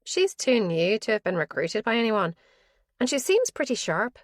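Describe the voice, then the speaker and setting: A woman voicing a brisk, wry young female detective in an audiobook, lifting her voice and sounding lively. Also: fairly high pitch, no room sound, clean studio sound, voice pushed up.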